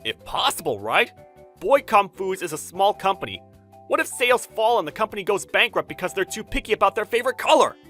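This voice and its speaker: A man's voice: medium pitch, expressive, normal speed, fairly bright tone, faint music behind. A man voicing a stubborn, earnest young designer who narrates a manga dub and swings from reflective to exasperated. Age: young adult. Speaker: narrator and lead character. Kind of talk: anime-style manga dub, dramatized first-person narration.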